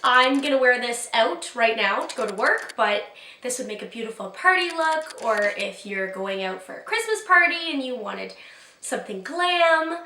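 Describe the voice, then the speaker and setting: Woman in her 20s, makeup tutorial voice-over, slightly hoarse, casual rambling, somewhat high pitch. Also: lively intonation, moderate pace, pushed and bright. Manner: chatty, upbeat, rambling with fillers. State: hoarse from a cold. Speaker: beauty vlogger. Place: bedroom filming setup.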